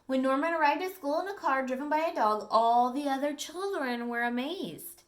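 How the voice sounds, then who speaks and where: A woman teacher reading a picture book aloud to children, with expressive storytelling and friendly asides to her listeners. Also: high voice, normal speed.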